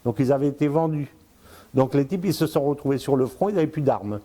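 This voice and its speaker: A man's voice: medium pitch, expressive, a little fast, warm tone. An older man in his sixties giving political commentary in casual speech, hesitant, with many fillers and repeated words, addressing the listener directly. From born in Congo, lived in Africa, Spain, Brazil. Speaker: strategic affairs commentator, guest.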